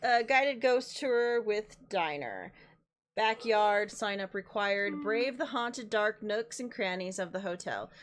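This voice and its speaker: A woman game streamer, hoarse and losing her voice, chatting casually and reading in-game notices aloud with frequent laughs and the odd swear. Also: medium pitch, lively intonation, slow.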